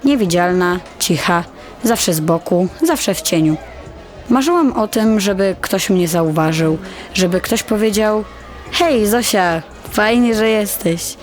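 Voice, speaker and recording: A young woman acts a shy, insecure high-school girl narrating an audio drama. She speaks in a confiding, introspective way at a medium pitch. Music plays clearly under her voice. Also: animated, a little slow, lightly acted. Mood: reflective. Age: teenager.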